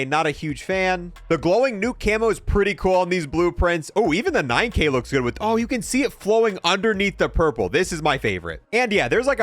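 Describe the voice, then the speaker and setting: A man's voice: on the high side, fairly bright tone, no echo. A man giving casual, offhand commentary as he showcases a video game, with some dry humor. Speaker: gaming video presenter.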